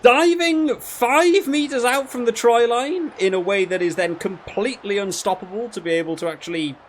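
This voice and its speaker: A man's voice: extremely high pitch, normal speed, a little hiss or hum. A man giving casual gameplay commentary as a video creator, rambling and thinking aloud as he goes. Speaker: gaming video creator reviewing a rugby game.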